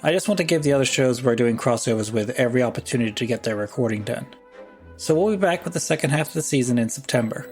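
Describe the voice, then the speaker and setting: A man's voice: mid-range voice, lively intonation, quick pace, darkish tone. A man hosting a podcast, reading a scripted announcement to listeners. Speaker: podcast host. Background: faint music.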